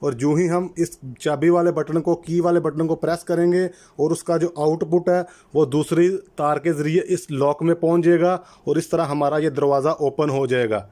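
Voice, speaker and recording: A man with a slightly high voice walks through a technical installation one step at a time. He is methodical and instructional, restating key terms so each step is clear. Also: steady tone, normal speed, few pauses, dark tone. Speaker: electrician presenting a how-to channel. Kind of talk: step-by-step product installation tutorial.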